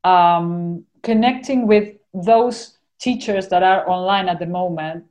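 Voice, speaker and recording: A woman's voice: fairly low pitch, slow pace, a little mellow. A woman with an Argentinian accent, a podcast guest talking casually and earnestly about her spiritual life, rambling and dotting her talk with "you know".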